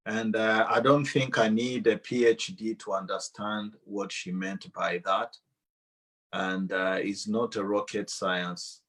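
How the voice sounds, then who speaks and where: A man with a Rwandan accent shares a personal testimony to a church audience, speaking deliberately and halting with long pauses. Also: lowish voice, steady tone, a bit bright.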